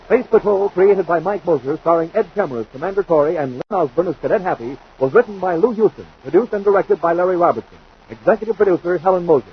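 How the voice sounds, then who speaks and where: A man's voice: high voice, animated, fast talker, few pauses, a little hiss or hum. An American man announcing from a script in 1950s radio style. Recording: dull 1950s radio recording.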